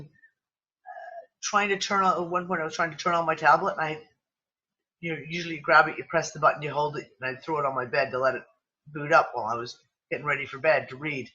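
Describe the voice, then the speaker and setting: A man hosts a loose online group chat over a video call, his bright, slightly high voice worn down, sniffly and on the edge of giving out. He rambles from point to point with exclamations and casual swearing. The stream audio sounds dull and lo-fi. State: losing voice, sniffly. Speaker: livestream discussion host. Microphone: computer mic on a video call.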